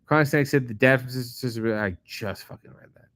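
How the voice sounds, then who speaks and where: A middle-aged man, a comedian host, reading viewer comments aloud and answering them in a casual, profane, deadpan way. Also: mid-range voice, brisk, no echo, clean studio sound. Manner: profane, sardonic, deadpan asides. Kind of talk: casual chat answering live chat questions.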